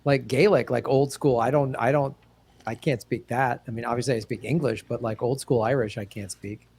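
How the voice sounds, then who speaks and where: A man's voice: mid-range voice, fairly even intonation, normal speed, darkish tone, breathy edge. An American man, a comedian guest, chatting loosely in an interview, laughing easily and using many fillers. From United States. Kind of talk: casual interview chat.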